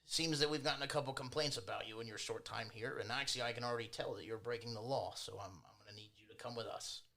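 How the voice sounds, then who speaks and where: A man's voice: mid-range voice, fast talker, creaky, sharp tone. A man voicing a burly old town enforcer in a tabletop game, a condescending bully who relishes his bit of power. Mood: officious. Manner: officious, condescending.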